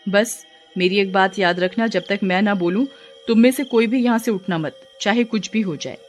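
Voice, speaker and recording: Bold young woman, voiced by a female storyteller, stern and earnest, mid-pitched, over faint music. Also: moderate pace, lightly acted. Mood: stern warning. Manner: earnest, warning delivery. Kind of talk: scripted Hindi audio story acting.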